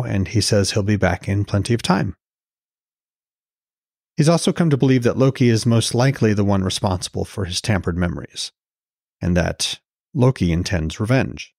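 Low-voiced male podcast narrator. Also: vocal fry, a little mellow, no echo, clean studio sound. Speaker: podcast host and author-narrator. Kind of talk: scripted narration.

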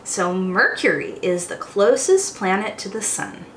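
A young woman hosting storytime as a teacher, reading aloud to small children in an expressive, exclamatory way. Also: mid-range voice, unhurried. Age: young adult. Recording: roomy echo, faint background noise.

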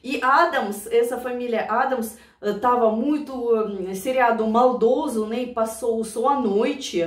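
A woman's voice: fairly high pitch, a little slow, recorded from a distance. A woman from Siberia with a Russian accent, chatting to her viewers in an emotional, laughing way about old memories. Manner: emotional, laughs often, addresses viewers fondly. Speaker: vlogger reacting to songs. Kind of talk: casual reminiscing to viewers. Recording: roomy, voice away from mic.

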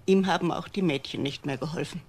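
Low-voiced, bitter old widow. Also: female voice, fast talker, darkish tone, breathy edge. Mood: bitter, lamenting. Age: older. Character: old wealthy widowed mother, bitter about her son. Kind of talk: German crime radio drama acting.

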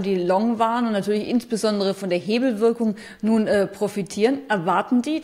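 A woman in her thirties hosting a business news interview, asking brisk, leading questions. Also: medium pitch, a little mellow. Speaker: host interviewer.

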